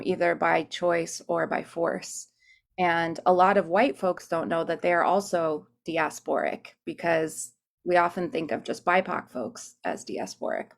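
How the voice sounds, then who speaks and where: A woman speaks from her desk at home over a video call, lower-pitched than most women, with a gravelly, fry-laden texture. She tells her story earnestly and stops now and then to gather her thoughts, like a guest who teaches singing. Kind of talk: reflective personal account. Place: home room at a desk by a window.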